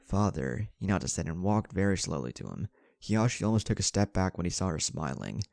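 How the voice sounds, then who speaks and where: A man's voice: low voice, very animated, fast talker, gravelly, darkish tone, dry recording. A man reading a scripted story as a narrator, acting out the dialogue between passages. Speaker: narrator of fan fiction stories. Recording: music behind the voice. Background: background music.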